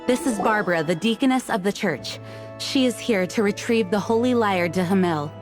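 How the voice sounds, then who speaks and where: A poised, formal knight commander, voiced by a woman. She speaks in a measured, mid-pitched voice. Soft music plays faintly underneath. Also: natural voice. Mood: composed. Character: composed female knight commander. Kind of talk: game cutscene dub. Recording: game cutscene with faint music.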